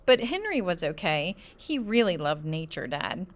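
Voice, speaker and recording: A woman's voice: very animated, normal speed. A woman voicing an anxious, dramatic young boy who frets about disasters in a children's storybook. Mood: sniffly, earnest. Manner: squeaky, breathless worrying. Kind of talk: children's storybook read-aloud.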